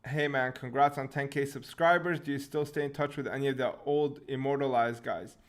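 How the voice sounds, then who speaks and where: A relaxed young man hosting a live chat stream, answering questions off the cuff. He talks at a middling pitch, laughs often, and repeats words as he starts sentences. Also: fairly quick. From Syria, raised in Canada.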